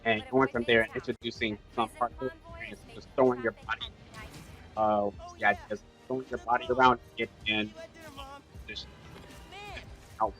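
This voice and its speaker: A man's voice: mid-range voice, flat delivery, normal speed, long pauses, fairly bright tone, soft background music. A man answering interview questions as a game developer, thoughtful, restarting and trailing off as he explains.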